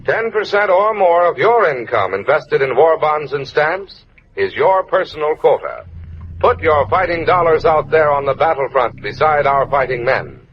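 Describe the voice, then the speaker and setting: A man's voice: on the high side, lively intonation, normal speed, a little hiss or hum. A man announcing a wartime radio appeal, earnest and persuasive. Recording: dull 1940s radio transcription.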